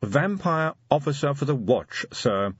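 Man acting a formal, unflappable butler, lilting pitch. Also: big pitch swings, slightly rough, lo-fi sound. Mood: cautious, deadpan. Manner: precise, measured, politely dry. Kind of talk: audiobook character acting.

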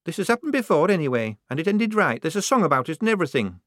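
A man's voice: sits high, lively intonation, brisk, no echo, clean studio sound, pitched above the performer's own voice. A man voicing a young woman disguised as a male recruit, who mumbles and then speaks hotly. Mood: defiant. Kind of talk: audiobook character voice.

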